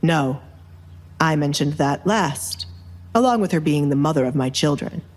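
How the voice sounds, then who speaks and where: A woman voicing a stern, commanding young duke with a clipped, deadpan manner in a dramatized audio romance. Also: deep voice, moderate pace, voice pushed down. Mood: dry, unbothered. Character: stern, commanding young duke, coolly teasing his butler.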